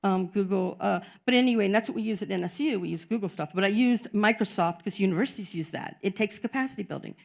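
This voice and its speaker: A woman's voice: mid-range voice, fast, vocal fry, few pauses. A woman giving a chatty, informal conference presentation, often saying "y'all". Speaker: conference panelist. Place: conference room.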